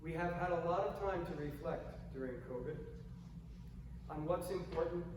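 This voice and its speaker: Soft-spoken pastor echoing in a church. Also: male voice, fairly high pitch. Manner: soft-spoken, gentle and measured. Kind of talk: scripture reading and sermon. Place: large old church sanctuary. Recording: echoey church hall from far off, noisy.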